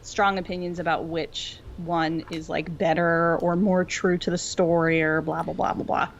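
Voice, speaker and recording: Low-voiced woman, rambling casually. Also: flat delivery, normal speed, creaky, lo-fi sound, a little hiss or hum. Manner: rambling, tangential, filler-heavy with frequent "like". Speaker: podcast co-host. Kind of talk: casual fan discussion chat.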